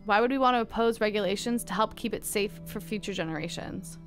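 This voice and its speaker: Woman narrator, mid-pitched, earnest and pointed, faint music bed underneath. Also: fast. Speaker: narrator of an advocacy spot. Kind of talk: scripted persuasive narration.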